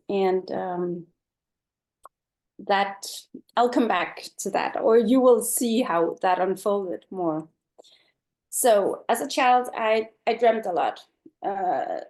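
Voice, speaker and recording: Danish woman, middle-aged, slow and thoughtful speaker, video-call mic. Online talk. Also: medium pitch, a little mellow. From Denmark. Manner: slow, thoughtful, many fillers. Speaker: guest speaker.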